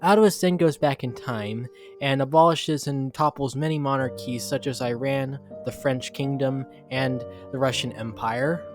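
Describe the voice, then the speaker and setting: A man narrates a dramatic story from a script at a medium pitch. He sometimes trips over the text, and faint music plays underneath. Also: fairly even intonation, unhurried.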